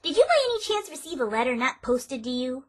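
A woman's voice: sits high, sing-song, brisk. A woman voicing a blunt, teasing young female pegasus pony in a cartoon dub. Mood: brisk. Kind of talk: cartoon fandub acting.

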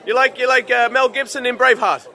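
A man's voice: very high voice, steady tone, fast talker, bright, forceful tone. A man vlogging on a busy city street at night, brash and joking, swearing a lot as he chats up strangers. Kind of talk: loud casual street vlog. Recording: noisy crowd around. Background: crowd chatter.

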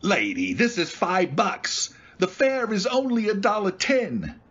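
A man acting a blunt, exasperated cab driver in a radio play. His delivery is slow but swings widely in pitch, with a husky edge, and he sounds incredulous and wary. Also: wildly swooping pitch, fairly bright tone, dull audio, full character voice. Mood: uneasy, firm. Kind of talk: old-time radio drama acting.

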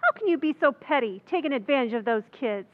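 A woman's voice: high voice, expressive, quick pace. A woman playing a sensible, scolding wife in a radio play. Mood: exasperated. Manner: scolding, dry. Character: sensible exasperated wife, sharp-tongued. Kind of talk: old-time radio drama acting. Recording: dull lo-fi recording.

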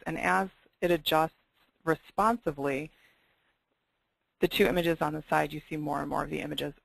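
A presenter walking through website design samples on slides in a webinar, explaining clearly with the occasional filler. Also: medium pitch, no room sound, rough recording.

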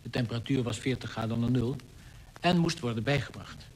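An actor playing a polar expedition member, giving a literary account in a radio play. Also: male voice, mid-range voice, fairly quick, darkish tone, close to an everyday voice. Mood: literary narration. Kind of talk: scripted radio drama narration. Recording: noisy old radio play recording.

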